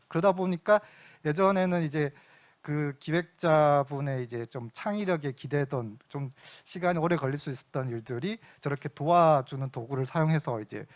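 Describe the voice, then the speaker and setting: Man, middle-aged, conference lecture, relaxed chatty delivery, somewhat higher voice. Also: lo-fi sound. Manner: relaxed, conversational, with frequent fillers. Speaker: invited speaker. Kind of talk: conference talk. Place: lecture venue.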